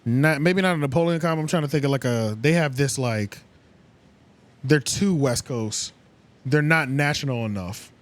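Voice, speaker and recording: Male podcast host, medium pitch, casual and agreeable, tentative, often searching for the right phrase. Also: moderate pace.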